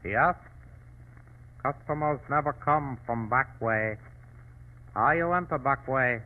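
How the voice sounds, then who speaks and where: Man playing slow, menacing henchman Mao. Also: medium pitch, natural voice. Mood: guarded, curt. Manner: slow, halting broken English. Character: Mao, menacing but servile Chinese shopkeeper henchman. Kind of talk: old-time radio drama acting. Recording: tinny, band-limited old radio recording.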